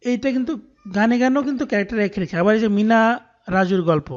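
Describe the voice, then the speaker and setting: A muffled, lo-fi recording of a Bangladeshi man teaching online. His voice is high and he talks fast, explaining each step of a tutorial and checking that listeners follow. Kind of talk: screen-recorded tutorial walkthrough.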